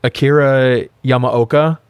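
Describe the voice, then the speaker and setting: A man's voice: mid-range voice, fairly even intonation, unhurried, clean tone, no echo. A man co-hosting a film review podcast in casual, profane chat, recapping and commenting with wry informality. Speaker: podcast co-host.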